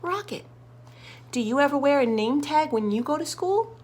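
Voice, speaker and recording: A woman reading a storybook aloud to young children, expressive and encouraging, putting questions to her listeners. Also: sits high, moderate pace.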